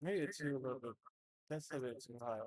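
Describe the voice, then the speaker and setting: A man's voice: mid-range voice, animated, fairly quick, no room sound. A young man chatting casually as he sets up a stream at home, rambling and hesitant with lots of fillers, speaking into a desktop mic. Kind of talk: casual chat while setting up a stream.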